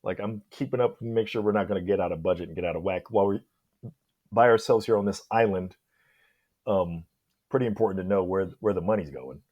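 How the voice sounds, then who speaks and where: A man's voice: deep voice, a little fast, no echo, lo-fi sound. A man speaking as a podcast guest, discussing a film in a casual, conversational way with emphatic reactions. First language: English.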